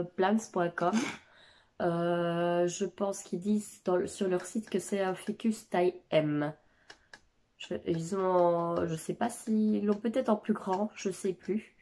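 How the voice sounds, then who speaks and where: A woman in her thirties with a cold and a nasal voice, chatting casually about her houseplants at home, pausing often with filler sounds. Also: medium pitch, fairly even intonation, warm tone. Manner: hesitant, many filler sounds. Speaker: plant vlogger. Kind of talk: casual chat. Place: home living room.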